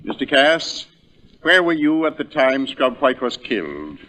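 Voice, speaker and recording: A man's voice: mid-range voice, sing-song, unhurried, sharp tone, a little hiss or hum, poor audio. A man in a 1940s radio drama playing a formal, emphatic, probing prosecutor in a courtroom. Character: forceful, formal male courtroom prosecutor, pointed and theatrical.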